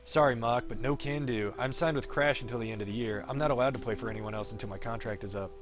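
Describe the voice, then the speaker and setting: A man's voice: medium pitch, quick pace, natural voice. A man voicing a wry, cocky young pro gamer from a visual novel, teasing and breezy. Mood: friendly, wry. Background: game music.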